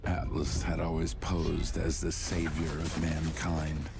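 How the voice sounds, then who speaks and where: A man playing a haunted, brooding monster of a man, narrating a game cutscene in a grim, gravelly voice. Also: very deep voice, flat delivery, exaggerated voice. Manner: grim, measured. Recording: loud music behind.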